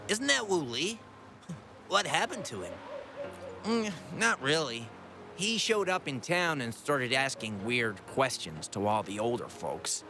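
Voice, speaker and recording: A man's voice: mid-range voice, very animated, unhurried. An actor playing a concerned, chatty male townsperson in a video game. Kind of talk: scripted game dialogue. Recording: game music behind voice.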